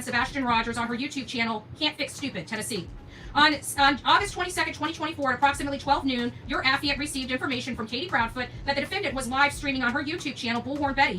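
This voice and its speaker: A woman's voice: sits high, sharp tone. A woman livestreamer from the United States, indignant and emphatic, repeating herself for stress as she comments and reads documents aloud. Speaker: YouTube channel host. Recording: distant mic, noticeable background noise.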